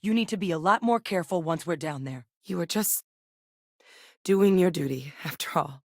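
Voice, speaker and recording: Low-voiced, determined woman scientist. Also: moderate pace, no room sound, clean studio sound. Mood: serious, earnest. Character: serious, driven woman military scientist, dry and determined. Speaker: voice actress in a video game. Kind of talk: acted sci-fi game dialogue.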